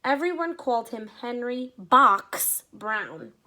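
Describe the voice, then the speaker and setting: Slow, expressive woman teacher reading aloud. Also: a little high. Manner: slow, expressive reading with short asides explaining to children. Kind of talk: picture-book read-aloud.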